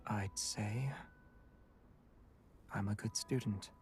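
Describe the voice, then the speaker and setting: An actor playing a calm, guarded young male student with secrets, speaking in a measured way for a video game. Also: fairly low pitch, recorded from a distance, faint music behind. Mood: calm, reflective.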